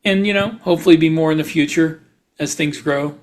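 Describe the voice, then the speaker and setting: A man's voice: fairly high pitch, brisk. A man chatting casually as a podcast host, rambling through anecdotes.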